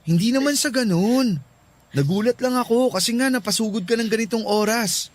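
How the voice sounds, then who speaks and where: High-pitched, concerned young Filipino boyfriend. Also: male voice, fairly quick. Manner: questioning, soft-hearted, surprised. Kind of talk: Filipino radio drama acting.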